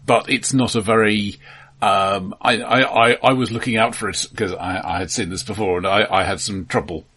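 A man co-hosting a film podcast in casual discussion, speaking haltingly with repeated words and dry, wry humour. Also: on the low side, steady tone, pushed and bright, slightly rough.

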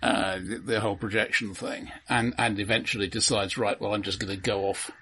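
A man co-hosting a film podcast, explaining his points casually and analytically in a halting way. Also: on the low side, fairly even intonation, bright, forceful tone, slight background noise.

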